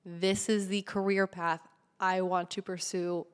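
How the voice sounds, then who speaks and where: A woman hosting an interview, asking polite, measured questions. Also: on the low side, little pitch movement, clear, resonant tone.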